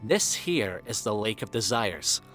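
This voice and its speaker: A man voicing a cheerful, excitable young pegasus pony who loves nature, with an animated and cheeky manner, in an audio drama. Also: lowish voice, a little slow, faint music behind. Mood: upbeat. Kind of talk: acted dialogue in a fan-made audio drama.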